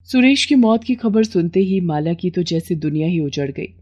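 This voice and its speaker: A woman's voice: fairly low pitch, expressive, moderate pace, darkish tone. A woman narrating a scripted moral story as a storyteller.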